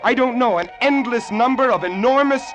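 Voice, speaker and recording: An actor playing a lighthouse keeper who narrates a sea horror tale with suspenseful, deliberate delivery in old radio style. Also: male voice, very high voice. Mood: horrified. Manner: suspenseful, deliberate, theatrical storytelling. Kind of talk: 1950s radio horror acting, dramatic narration. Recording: vintage radio drama with music underneath.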